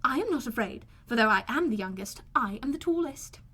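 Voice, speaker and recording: A woman's voice: a little high, lively intonation, brisk, sharp tone, slightly rough, heavily acted. Woman playing a bold, boastful teenage girl. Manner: confident, assertive. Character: stout-hearted youngest teenage daughter, bold and boastful. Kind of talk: audiobook character voice.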